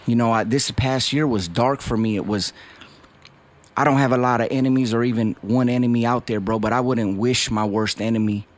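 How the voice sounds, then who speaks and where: A man from West Covina speaks openly as a rapper guest, his voice sitting at an ordinary middle pitch. He is earnest and reflective and keeps tossing "bro" and "dog" into his sentences. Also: steady tone, a little fast. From West Covina, California. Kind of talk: candid interview talk.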